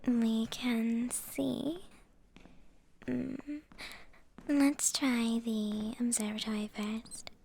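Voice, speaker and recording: A woman performs a coy young female vampire for an intimate ASMR roleplay. Her voice is soft and breathy, often whispered, with a creaky edge. She speaks slowly and hesitantly, playful and secretive, over faint background noise. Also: on the high side, flat delivery, heavily acted. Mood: inviting, reassuring. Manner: coy, hesitant, drawn-out phrasing with soft chuckles. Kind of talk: ASMR roleplay, intimate girlfriend-style monologue.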